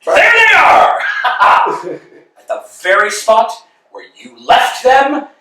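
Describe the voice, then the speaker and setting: An actor playing eager, fussy tramp Vladimir, echoey. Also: male voice, very high voice, takes it slowly, full character voice. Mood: fussing. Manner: insistent, jumps between hushed and excited. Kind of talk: staged play reading. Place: indoor rehearsal room. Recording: echoey room from far off.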